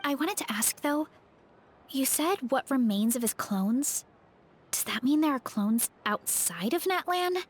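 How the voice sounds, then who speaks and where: A woman's voice: high-pitched, lively intonation, measured pace, breathy edge, heavily acted. A woman voicing a cheerful, hungry young heroine in a game, friendly and a little hesitant. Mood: warm.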